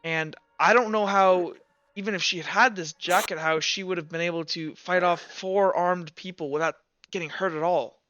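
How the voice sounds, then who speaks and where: A man voicing a skeptical, methodical female commander who questions a witness in a halting, matter-of-fact way for a tabletop game. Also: sits high, fairly even intonation, sharp tone, dry recording, muffled recording, soft background music. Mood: grave, skeptical.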